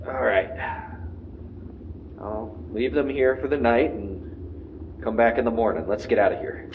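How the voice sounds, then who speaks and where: An actor playing a podcaster lost in the wilderness in an audio drama, narrating haltingly to his recorder as he grows frightened and paranoid. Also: mid-range voice, phone-quality sound, slight background noise, lightly acted. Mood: wary. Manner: halting self-narration, swearing. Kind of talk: found-tape audio drama monologue.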